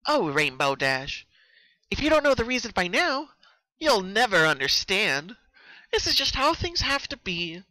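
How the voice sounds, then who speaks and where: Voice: medium pitch, sing-song, measured pace, pushed and bright, no echo, pitched above the performer's own voice, low-quality recording. A narrator voicing a sadistic pony mare who lectures a captive in a patronising, philosophising manner, for a horror story reading. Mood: gleeful, chilling calm. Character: sadistic pony mare torturer, calmly philosophising, sing-song menace.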